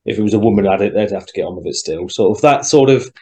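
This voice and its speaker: A young man with a fairly low voice, chatting in a relaxed group discussion. He thinks out loud and drops in little quoted asides as he goes. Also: quick pace. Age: young adult. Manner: reflective, talks around his point with quoted asides. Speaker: podcast co-host.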